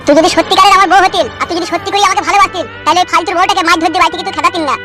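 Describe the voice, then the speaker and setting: A man's voice: very high voice, fairly even intonation, rapid-fire, over loud music. A man voicing a grumpy, crude, sarcastic village husband in a comedy cartoon. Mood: resentful.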